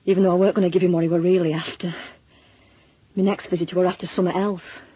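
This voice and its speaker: A woman's voice: fairly low pitch, level tone, very fast, mellow, breathy edge. An actress playing a worried, middle-aged Northern English mother with a dry, sardonic manner in a naturalistic radio drama. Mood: tender, confiding. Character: worried, wry Northern mother of a suspected lad.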